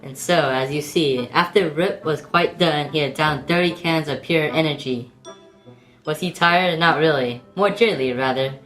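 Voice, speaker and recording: A woman acts as a storybook narrator with a low voice. She tells the tale in measured, old-fashioned rhyming phrases, with some music behind her. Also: a bit bright, low-quality recording. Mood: storytelling.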